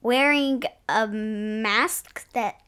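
A woman's voice: on the high side, very slow, fairly bright tone, smooth. A woman co-hosting a podcast in casual banter, with a playful, giggly way of talking. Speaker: podcast co-host.

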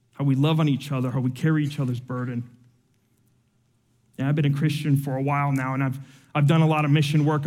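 A man's voice: mid-range voice, dark tone, long reverb tail. Earnest, emotional man preaching. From Cuba. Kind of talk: sermon with personal testimony.

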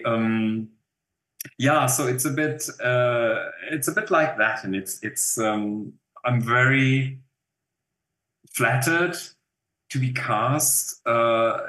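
A man's voice: mid-range voice, bright, forceful tone. A man in his fifties with a German accent, an actor chatting in an interview, rambling with fillers and restarts. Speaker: actor guest. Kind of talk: casual interview. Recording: roomy echo.

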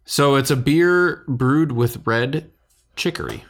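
A man's voice: mid-range voice, slow, fairly bright tone. A man co-hosting a casual beer podcast, speaking in a laid-back, informal way. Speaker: podcast co-host.

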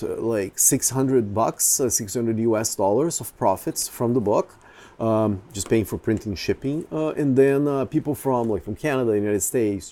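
A man whose first language is Portuguese speaks in a relaxed, mellow voice. He talks casually, pausing often with fillers and restarting his sentences as he searches for words. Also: mid-range voice. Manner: hesitant, many fillers and restarts. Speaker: photographer. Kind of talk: casual chat.

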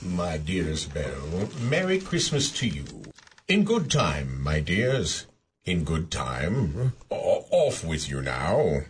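A man playing a jolly family man, deep and lively, unhurried, radio play, faint noise. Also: full character voice. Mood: jovial. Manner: jovial, affectionate, animated. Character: cheerful middle-aged family man, Belle's husband. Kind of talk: radio drama acting.

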